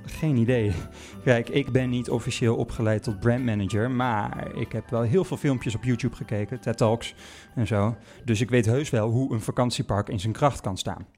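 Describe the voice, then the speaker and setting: A man's voice: mid-range voice, fairly even intonation, moderate pace, few pauses, darkish tone, natural voice. A man playing a hapless, bumbling park employee who narrates a comic live audio play in a hesitant way. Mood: sheepish. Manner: hesitant, bumbling, echoes what he is told. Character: hapless, unqualified young male holiday park employee. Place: theatre stage before live audience. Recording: music behind, live theatre stage.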